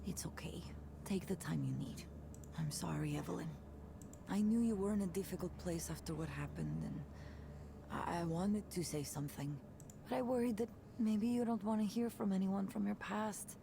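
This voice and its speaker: A woman's voice: mid-range voice, normal speed, gravelly, background noise, close to an everyday voice. An actress voicing an upbeat, candid young woman, a musician and old friend, in a visual novel game. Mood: gentle, concerned.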